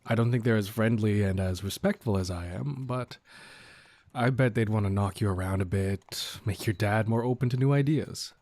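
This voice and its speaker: A cocky, easygoing bandit leader, played by a man for an audio roleplay. His voice sits fairly low and is recorded dry, with no room sound. He teases with mock courtesy in a casual, folksy way, a rogue who is considerate underneath. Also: normal speed, darkish tone, no room sound, natural voice. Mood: wry, conspiratorial. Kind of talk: audio roleplay acting addressed to the listener.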